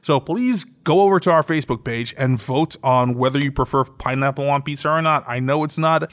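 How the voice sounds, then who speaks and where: A man's voice: medium pitch, fairly quick, fairly bright tone. A man co-hosting a podcast in a casual, bantering style, playfully argumentative. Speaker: podcast co-host.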